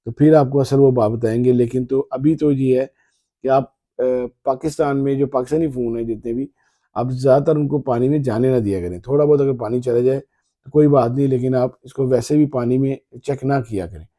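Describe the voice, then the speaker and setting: A man giving casual, halting commentary as a tech reviewer unboxing a phone, often repeating his phrases. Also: mid-range voice, mellow.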